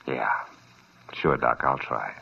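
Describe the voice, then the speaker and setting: A dry hard-boiled private eye. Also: male voice, extremely low pitch, fairly even intonation, slow, vocal fry, noisy background, full character voice. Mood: laconic. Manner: dry, wry and laconic. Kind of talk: 1940s radio acting. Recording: dull old radio recording with music.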